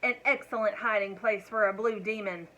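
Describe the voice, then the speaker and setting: A woman voicing a hot-tempered male farmer who yells and grumbles gruffly. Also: mid-range voice, steady tone, normal speed, close to an everyday voice, low-quality recording. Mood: shouting. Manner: yelling, gruff.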